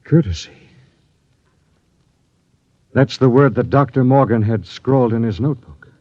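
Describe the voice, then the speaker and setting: An actor playing a grave, driven expedition captain, dramatic and deliberate, in old-time radio drama style. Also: male voice, medium pitch, no room sound, lightly acted. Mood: probing. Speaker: narrator and lead actor. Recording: dull vintage radio recording with music.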